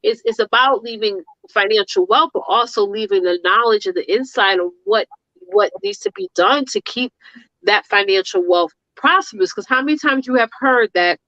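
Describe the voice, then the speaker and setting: A woman's voice: mid-range voice, normal speed, sharp tone, no room sound, highs cut off. A woman in her thirties co-hosting a talk show, speaking in an earnest, motivational way and repeating her points for emphasis. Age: 30s. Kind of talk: casual talk show chat.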